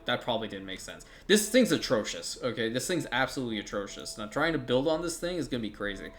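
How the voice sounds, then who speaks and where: A man's voice: mid-range voice, a bit bright, a little hiss or hum. Rambling man narrating his gameplay. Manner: rambling, thinks aloud, frequent restarts and swearing. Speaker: strategy game streamer. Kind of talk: casual gameplay commentary.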